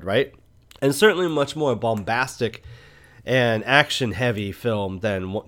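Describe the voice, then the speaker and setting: A man with a middle-pitched voice hosts a casual film review show. He talks conversationally and with enthusiasm, often tossing in little check-ins to the listener as he goes. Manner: conversational, enthusiastic, punctuated with "right?" and "you know". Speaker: movie podcast host.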